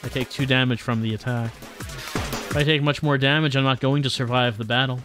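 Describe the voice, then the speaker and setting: A man's voice: mid-range voice, fairly even intonation, moderate pace. Wry male streamer reading over game music. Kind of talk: live visual novel read-along with wry commentary.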